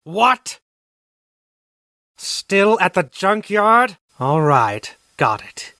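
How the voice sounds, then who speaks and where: An actor playing a cool hired hunter in a game fandub, muttering deliberately. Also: medium pitch, very animated, bright, forceful tone, no room sound, studio quality, full character voice. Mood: menacing, unhurried. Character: cool, slow-talking hired hunter stalking prey.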